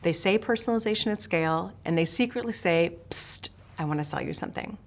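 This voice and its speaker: A woman's voice: on the low side, fast talker, vocal fry. A woman from Switzerland, a sales trainer, giving a scripted lesson straight to the viewer in a direct, emphatic way. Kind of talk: scripted instructional talk to camera.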